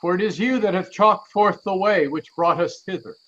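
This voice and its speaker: High-voiced, effusive man as an old counsellor. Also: moderate pace, a bit bright, highs cut off. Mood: joyful reverence. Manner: effusive, declamatory. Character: devout, garrulous, kindly old counsellor. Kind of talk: Shakespearean radio play acting.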